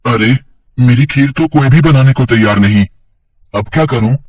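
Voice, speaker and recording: A benevolent young god in child form, played by a man, earnest and coaxing in a cartoon tale. The sound is dry yet set back from the mic, at a middling male pitch. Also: normal speed, darkish tone, dry recording, recorded from a distance, natural voice. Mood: pleading.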